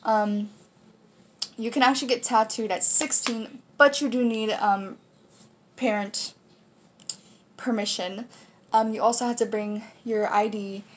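A woman's voice: fairly high pitch, fairly even intonation, unhurried, long pauses, slight background noise. A nineteen-year-old woman with an American accent, chatting casually to camera in an informal, rambling way as she hosts a video. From United States, Kansas. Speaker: video host. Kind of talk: casual vlog chat to camera. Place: small room indoors.

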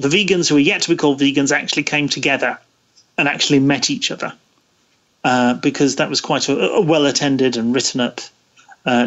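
A man being interviewed, explaining history conversationally in a hesitant, halting way with frequent pauses mid-phrase. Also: medium pitch, dull audio. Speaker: guest, interviewee.

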